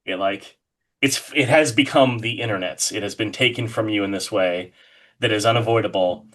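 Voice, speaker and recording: A man with a fairly low voice speaks as the guest in a casual interview. He rambles with enthusiasm, often hesitating mid-thought before carrying on. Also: normal speed, fairly bright tone. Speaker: guest, horror artist being interviewed.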